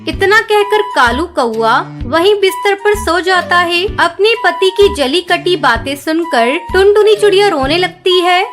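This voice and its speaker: A woman's voice: extremely high pitch, animated, a little slow, hardly any pauses. A woman narrating a scripted children's cartoon story. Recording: loud music behind the voice.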